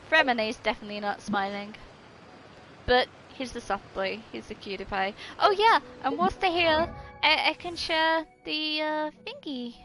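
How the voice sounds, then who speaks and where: A woman's voice: sits high, fairly bright tone. A woman livestreamer giving casual, playful gaming commentary in a chatty, doting way. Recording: game music behind the voice. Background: video game music.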